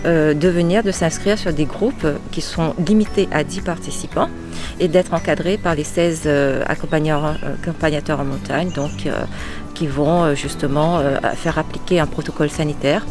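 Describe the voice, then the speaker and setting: Halting woman explaining over music. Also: low voice. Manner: halting, self-correcting. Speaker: tourism office representative. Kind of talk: press interview explanation.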